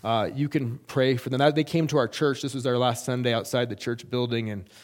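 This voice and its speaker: A middle-aged man speaks at a middle pitch as a missionary reporting back to a church. He talks earnestly and haltingly, often pausing on fillers and restarting phrases. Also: fairly even intonation. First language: English.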